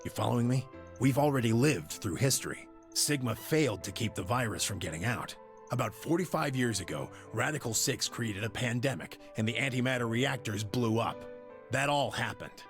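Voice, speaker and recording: A man's voice: mid-range voice, animated, moderate pace, lightly acted. An actor playing a blunt, sardonic, weary older man in a video game. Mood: scornful, resigned. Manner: blunt, scornful, rhetorical. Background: game music.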